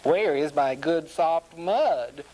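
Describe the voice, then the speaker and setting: Woman playing an expressive, fussy little storybook pig. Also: low-pitched, slow pace, pitched above the performer's own voice, heavily acted. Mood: plaintive. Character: small male pig, fussy, mud-loving, easily startled.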